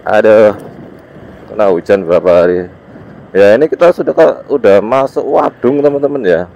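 A man's voice: on the low side, normal speed, vocal fry, mellow. A man with an East Javanese accent, congested with a cold, giving casual riding commentary in short, loose, informal phrases. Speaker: motovlogger. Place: outdoors, riding a motorbike on village roads. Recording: dull muffled sound, motorbike ride noise. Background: vehicle and road noise.

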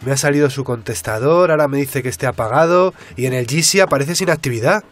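Radio serial: earnest male investigator voiced by an actor, mid-pitched, colloquial. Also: fast, lightly acted. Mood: concerned. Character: earnest male investigative journalist, casual and concerned. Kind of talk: naturalistic Spanish radio drama dialogue.

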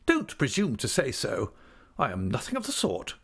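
A man's voice: a little high, very animated, normal speed, creaky, slightly rough, voice pushed up, heavily acted. A man voicing a stern older aunt in an audiobook, blunt, curt and scolding. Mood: stern indignation. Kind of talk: audiobook character acting.

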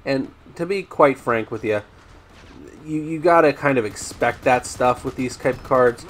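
A man commentating over his own gameplay, chatty and rambling with ums and restarts, his voice slightly raspy today. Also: medium pitch, unhurried, a bit bright. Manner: rambling, frequent ums and restarts, addresses viewers as guys. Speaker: gaming YouTuber.

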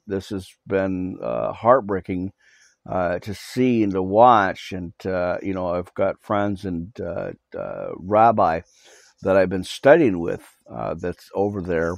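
A man with a somewhat low voice leads a live Bible study from a small home studio, recorded on his cell phone. He talks in halting bursts full of fillers and restarts, then presses listeners earnestly to repent. The sound is dry and close, with little room echo. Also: vocal fry, dull audio. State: recovering from losing his voice. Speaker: pastor. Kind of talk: live podcast Bible study and prayer update.

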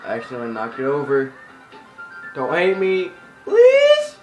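Hyper, goofy guy with lively swooping pitch. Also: measured pace. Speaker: let's play host. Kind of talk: casual gameplay commentary. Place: room at home, beside a TV playing the game. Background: video game music from a TV.

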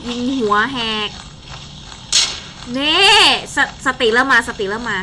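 A young woman with a high-pitched voice gives casual gameplay commentary as a channel host. She talks in a chatty, informal way as she plays. There is clear noise in the recording. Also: big pitch swings, slow. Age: young adult. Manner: chatty and informal, exclaiming in play. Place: indoor streaming setup at a desk.

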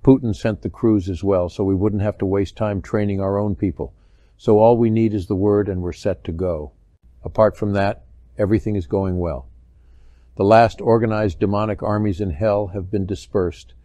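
A businesslike defense official, played by a man. His voice is low and dark, his delivery matter-of-fact. The recording is very dry, audiobook style. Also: fairly even intonation, normal speed, dry recording, natural voice. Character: businesslike male military official briefing the president. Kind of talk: audiobook character acting.

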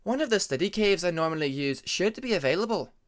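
A man's voice: sits high, expressive, fast talker, pitched above the performer's own voice. A man voicing an aloof young female ice dragon with a formal manner, in an audiobook story. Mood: businesslike. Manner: formal, focused.

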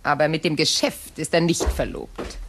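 An actress in a radio play playing an imperious middle-aged widow, genteel, measured and coolly sardonic. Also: female voice, deep voice, noisy background. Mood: composed, calculating.

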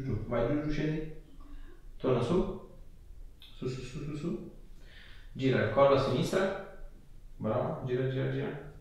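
A man's voice: medium pitch, a little mellow, highs cut off. A man, a chiropractor giving short, repeated instructions and asking brief questions of a patient. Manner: short, repeated directive phrases. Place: small clinic treatment room, indoors. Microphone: camera microphone a few steps away. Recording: echoey room, distant mic, background noise.

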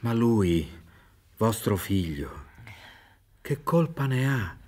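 A man's voice: lowish voice, big pitch swings, slow pace, darkish tone, slightly rough, heavily acted. An actor in his sixties playing a concerned male country doctor in a radio drama. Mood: troubled, questioning. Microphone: studio microphone with headphones on a theatre stage.

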